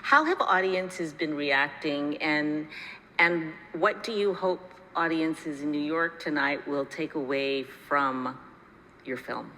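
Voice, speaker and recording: A woman with a notably low, bright voice hosts a festival interview. She asks questions supportively and restates what guests say. She sounds recorded in a reverberant hall with faint background noise. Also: slow pace. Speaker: interviewer and show host.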